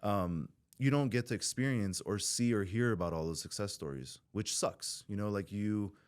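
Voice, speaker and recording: Very deep-voiced man talking bluntly. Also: normal speed. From Southern California. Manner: blunt, swears freely, rambling with restarts. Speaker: podcast guest in recovery. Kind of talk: candid podcast conversation.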